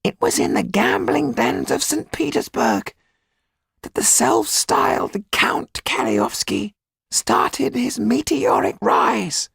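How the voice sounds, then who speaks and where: Storybook schemer, extremely high thin falsetto, deliberate and knowing. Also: male voice, animated, gravelly, fairly bright tone, husky, no room sound, heavily acted. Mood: intent, explanatory.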